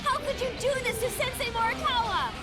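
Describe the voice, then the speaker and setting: Squeaky-high feisty schoolgirl heroine. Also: expressive, a little fast, a loud crowd. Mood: indignant. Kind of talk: video game voice acting. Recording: roomy game audio under loud music.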